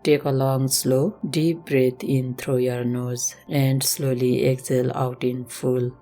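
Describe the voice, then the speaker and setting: A woman instructor leading a slow guided meditation in a gentle, measured, instructional manner. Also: rumbling low, little pitch movement. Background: music.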